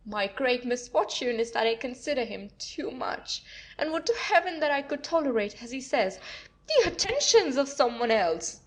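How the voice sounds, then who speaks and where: A woman's voice: high voice, very animated, moderate pace. A woman playing a wronged young wife, plaintive and offended in a formal theatrical way, in a comedy audio play.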